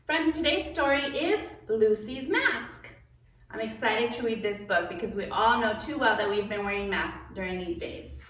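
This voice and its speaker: A woman's voice: on the high side, normal speed, a bit bright. Very echoey woman presenting to children. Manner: simple step-by-step explaining. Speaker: children's storyteller and craft presenter. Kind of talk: friendly instruction addressed to young children. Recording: very echoey room, distant mic.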